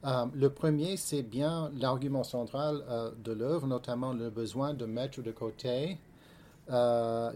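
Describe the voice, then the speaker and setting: A historian whose French is not his first language, talking slowly through prepared remarks with frequent fillers and restarts. His voice is mellow and dark. A faint hum sits behind him. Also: mid-range voice. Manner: hesitant, many fillers and repeated words. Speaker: historian and author, panel guest. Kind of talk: academic panel discussion, partly reading prepared text.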